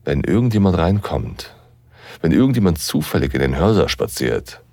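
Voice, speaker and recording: A commanding, teasing professor with an extremely low voice and a gravelly fry. He narrates an erotic audio drama slowly and deliberately, intimate and at times breathy, in a dry, close recording. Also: sing-song, a little mellow, dry recording, heavily acted. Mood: aroused, hushed. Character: dominant male university professor, seductive, teasing, commanding lover.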